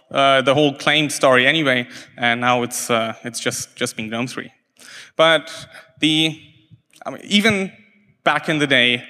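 A man whose first language is German, giving a conference talk in a halting way with many restarts and fillers. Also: a little high, pushed and bright. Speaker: conference speaker. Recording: hall reverb from a talk venue.

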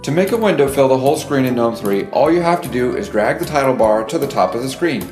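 A man reads a scripted tutorial voice-over in an even, steady way. Guitar music plays clearly behind him. The sound is roomy, like a video played back in a hall. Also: medium pitch, fast. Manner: even, read-aloud delivery.

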